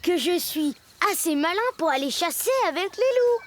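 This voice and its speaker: An actor voicing a plucky, boastful, excitable young jungle boy in a French cartoon dub. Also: very high voice, moderate pace, slight background noise. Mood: proud. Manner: bright, cocky, exclamatory. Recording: loud background music.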